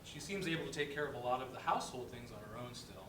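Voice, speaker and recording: A man's voice: quick pace, close to an everyday voice. A man playing a wry, outspoken office worker in a dramatic reading of a play, speaking in a conversational, emphatic way. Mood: subdued, earnest. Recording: echoey room, distant mic, rumbling noise.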